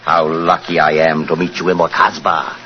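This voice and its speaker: An actor playing a mocking, sardonic gentleman villain in a 1940s radio drama, flippant and theatrical. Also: low voice, flat delivery, moderate pace, lightly acted. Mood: taunting. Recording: muffled, hissy 1940s radio broadcast.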